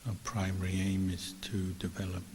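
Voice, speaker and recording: A man teaching guided meditation as a Buddhist monk, calm and measured, with long pauses between phrases. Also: sits low. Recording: echoey hall reverb with clear hiss.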